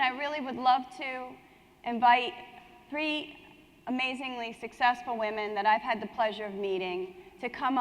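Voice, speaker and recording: A woman moderating a live conference panel, addressing the room and introducing speakers. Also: fairly high pitch. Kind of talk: hosting a live panel, partly reading introductions from a script. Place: indoor conference hall on stage. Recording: echoey hall, long reverb.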